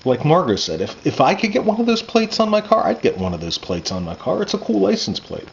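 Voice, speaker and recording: A man, an author reading his own first-person coming-of-age fiction aloud in a conversational, expressive way. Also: on the high side, a little fast, creaky. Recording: muffled lo-fi with background noise.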